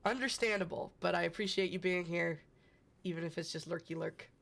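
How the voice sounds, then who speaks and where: A man's voice: high voice, fairly even intonation, a little fast, creaky, fairly bright tone. A man with a cold and a stuffy voice, hosting a casual live stream and chatting with viewers by name. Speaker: livestream host.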